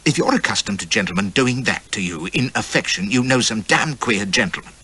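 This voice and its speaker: A man's voice: fairly low pitch, expressive, brisk, pushed and bright, breathy edge, heavily acted. A man doing a blustering cad officer. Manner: blustering, cocky. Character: brash, caddish young army officer. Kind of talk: audiobook character voice.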